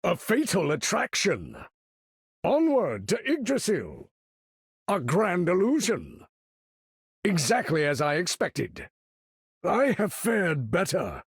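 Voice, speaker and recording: An older, imperious supervillain for a video game. His voice is gravelly and husky, swinging dramatically as he issues grand, formal battle commands with pompous weight. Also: high voice, huge pitch swings, slow pace, long pauses, no echo, clean studio sound, full character voice. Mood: strained. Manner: pompous, theatrical, formal diction.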